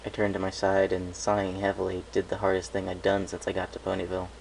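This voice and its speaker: A man's voice: low-pitched, completely flat delivery, fast talker, creaky, darkish tone, a little hiss or hum, low-quality recording. A man narrating a first-person story from a script, in a home recording. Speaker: fan fiction reader.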